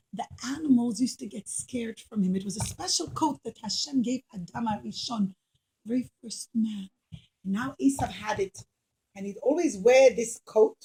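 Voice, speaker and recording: Female children's storyteller: higher voice, teacherly, dramatic emphasis, speaks straight to the kids. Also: mellow, poor audio. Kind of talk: live puppet-show storytelling for children.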